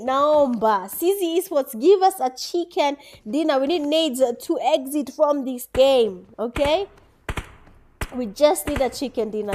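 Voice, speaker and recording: Young Kenyan woman, high-pitched and chatty, streaming her own esports commentary. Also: a little mellow, a little hiss or hum. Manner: chatty, laughs often, mixes in Swahili. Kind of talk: live game commentary.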